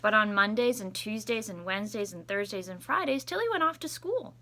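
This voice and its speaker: A woman teacher reading a story aloud to children with expressive, deliberate phrasing. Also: medium pitch, slight background noise.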